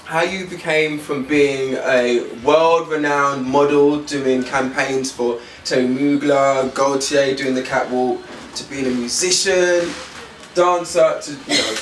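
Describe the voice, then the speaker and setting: A man's voice: medium pitch, a little slow, recorded from a distance. A man with a British accent, chatting informally as an interviewer on location. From Britain. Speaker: interviewer, presenter. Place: on location in designer's studio. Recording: echoey, off-mic, faint background noise.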